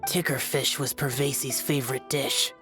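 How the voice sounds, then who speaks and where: An actor playing a stern, aloof young immortal warrior in a video game, speaking tersely and solemnly. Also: male voice, mid-range voice, steady tone, normal speed, natural voice. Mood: subdued. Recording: music playing behind.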